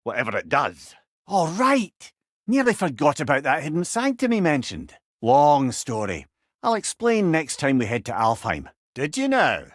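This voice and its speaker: An actor playing a wise, wry old Scottish companion in a video game, talking in folksy turns of phrase like a storyteller. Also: male voice, fairly high pitch, wildly swooping pitch, normal speed, no room sound, studio quality. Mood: wry, businesslike.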